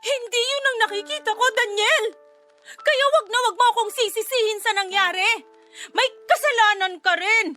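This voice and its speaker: Bereaved young-sounding mother for radio drama: defensive, reproachful, piercingly high, light music underneath. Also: moderate pace, pushed and bright. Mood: indignant. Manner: indignant, tearful, reproachful. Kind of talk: emotional radio drama acting.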